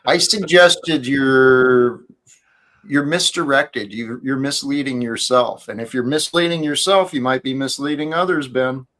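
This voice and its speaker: Male host, casual chat, measured and corrective, mid-pitched. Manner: calm, corrects others in a measured way.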